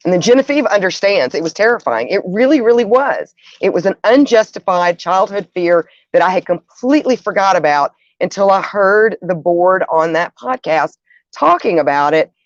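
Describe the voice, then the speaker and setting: A woman's voice: on the low side, lively intonation, moderate pace, no room sound. A middle-aged woman hosting a livestream, chatting casually and musingly, speaking straight to her listeners. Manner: conversational, musing, addresses listeners directly. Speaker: livestream host. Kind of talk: casual livestream chat, reading out viewer comments. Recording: dull, dry lo-fi audio.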